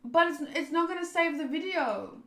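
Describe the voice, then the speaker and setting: A woman game streamer with a high, bright voice. She chats and reads aloud theatrically, often drifting into asides, in a roomy, echoing space. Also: expressive, moderate pace. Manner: chatty, theatrical, swerving into asides. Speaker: streamer playing a visual novel. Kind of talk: casual live playthrough commentary, reading aloud.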